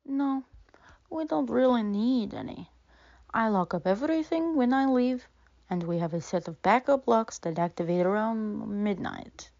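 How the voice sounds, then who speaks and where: A woman's voice: a little high, expressive, a little slow, creaky, darkish tone, no echo. A woman playing a genial, insistent theater owner in an audio drama, persuasive, on a dull recording.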